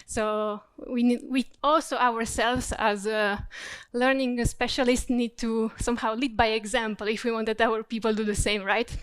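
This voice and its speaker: Woman with a smooth, higher voice. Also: normal speed, few pauses, dry recording. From Croatia. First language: Croatian. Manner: steady, explanatory, searching for words. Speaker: corporate learning specialist presenting. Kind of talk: conference presentation.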